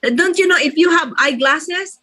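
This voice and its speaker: A woman in her sixties with a high, bright voice, hosting a group call in a rambling, chatty way. She laughs often and talks loosely, as in casual chat. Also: moderate pace. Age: 60s. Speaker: host leading an online group session.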